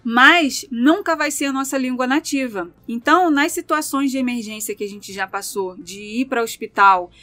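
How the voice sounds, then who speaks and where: A woman's voice: high-pitched, normal speed, few pauses, sharp tone, soft background music. A Brazilian woman with a Brazilian Portuguese accent, chatting casually and explaining things through lists of examples. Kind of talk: casual chat.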